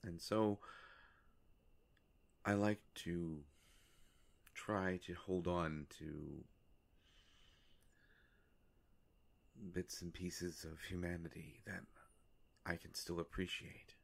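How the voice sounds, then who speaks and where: A man playing a courteous, melancholy vampire in a roleplay audio drama, speaking formally and hesitantly with long pauses and a gravelly voice. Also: steady tone, exaggerated voice. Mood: wistful, earnest. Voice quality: gravelly, creaky low voice. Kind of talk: scripted roleplay audio drama addressed to the listener.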